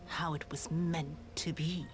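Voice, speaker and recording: A bitter young game villainess, speaks in a low voice with slow, cruel menace. Her theatrical delivery is breathy and soaked in spite, with music playing behind her. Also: little pitch movement, exaggerated voice. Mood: weary, bitter. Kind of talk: dramatic video game voice acting. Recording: game audio with music underneath.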